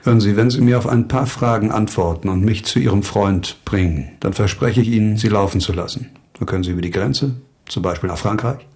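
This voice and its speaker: An actor in a German radio crime drama playing a shrewd, controlled investigator, measured, authoritative and dryly condescending. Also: male voice, lowish voice, darkish tone, close to an everyday voice. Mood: coolly persuasive. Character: shrewd, controlled male investigator questioning suspects.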